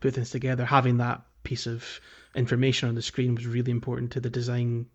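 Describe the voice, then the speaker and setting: Male podcast co-host, mid-range voice, conversational and a little halting, thinking aloud as he talks. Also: mid-range voice, completely flat delivery, a little mellow, highs cut off. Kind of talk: casual video game podcast chat.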